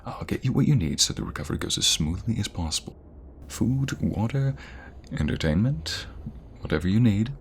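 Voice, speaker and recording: A man's voice: on the low side, animated, normal speed, a little hiss or hum. A man acting in an intimate audio roleplay as a male firefighter, an obsessive captor who poses as a caring rescuer and talks in a coaxing, insistent way. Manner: coaxing, softly insistent, hesitant stammers.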